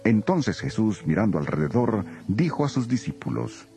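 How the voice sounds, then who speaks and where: Deep, gravelly male scripture narrator. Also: sing-song, moderate pace, dark tone, soft background music.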